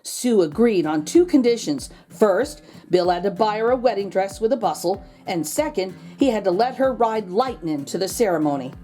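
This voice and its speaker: A woman reading a children's picture book aloud as host, expressive, drawing out words for drama. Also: medium pitch, normal speed, few pauses, faint music behind. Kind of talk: animated storybook read-aloud for children.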